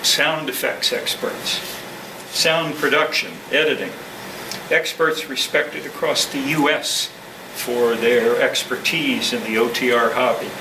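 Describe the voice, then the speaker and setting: A man speaking as club president, reading a formal, measured speech to a meeting. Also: medium pitch, fairly even intonation, far from the mic. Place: indoor club meeting room. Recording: echoey meeting room from afar, noisy hum.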